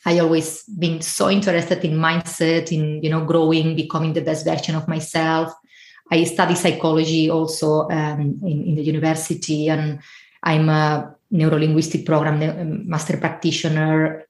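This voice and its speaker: A woman's voice: low voice, a little mellow. A woman in her forties from Spain, with a Spanish accent, telling her own story conversationally as a podcast guest. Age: 40s. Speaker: podcast guest, travel and life coach. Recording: roomy, echoey sound.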